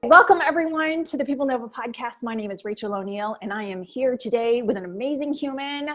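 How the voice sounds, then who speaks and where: A woman's voice: on the high side, expressive, a little fast, a bit bright, faint music behind. A woman hosting a podcast, moving between scripted introduction and interview questions. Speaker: podcast host.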